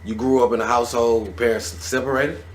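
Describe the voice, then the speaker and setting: A man hosting a casual interview, talking in an animated way and building his questions out loud. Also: mid-range voice, fast. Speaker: interviewer, show host.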